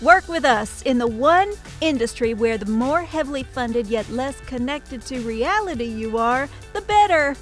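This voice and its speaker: A woman playing an upbeat corporate announcer in a parody recruitment commercial, giving a polished, persuasive sales pitch. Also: animated, a little slow, few pauses, soft background music. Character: slick, upbeat female corporate advert announcer.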